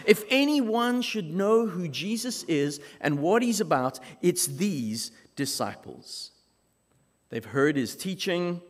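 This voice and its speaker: A man from South Africa with a South African accent, preaching a sermon as pastor in measured, emphatic phrases with dramatic pauses. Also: high-pitched, sing-song. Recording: long reverb of a large hall.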